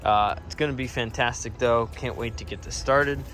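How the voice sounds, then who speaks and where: A man's voice: mid-range voice, moderate pace, fairly bright tone, faint music behind. A man giving an upbeat, informal walkthrough as a builder hosting a project video outdoors. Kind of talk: casual on-site project walkthrough. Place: outdoors at a backyard pond construction site. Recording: noisy outdoor site, vehicle and ambient noise.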